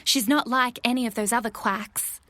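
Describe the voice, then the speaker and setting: High-voiced, caring woman as a fairytale friend. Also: steady tone, moderate pace, pushed and bright, dry recording. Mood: encouraging. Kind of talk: audio drama dialogue.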